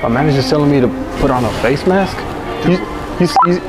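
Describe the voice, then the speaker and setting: A young man with a slightly high voice talks casually in a store. He is hesitant and apologetic as he passes on someone else's orders. Loud music plays over him. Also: brisk, poor audio. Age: young adult. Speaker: prankster posing as a store worker. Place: inside a large store aisle.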